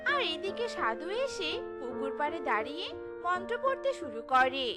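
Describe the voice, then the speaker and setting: High bouncy woman narrator for a kids cartoon, loud music under. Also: very animated, normal speed.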